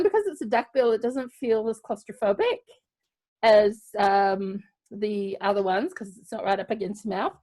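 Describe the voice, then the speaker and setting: High-voiced Australian woman hosting. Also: a little mellow. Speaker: host of a live online variety show. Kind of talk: casual chatty hosting on a video call.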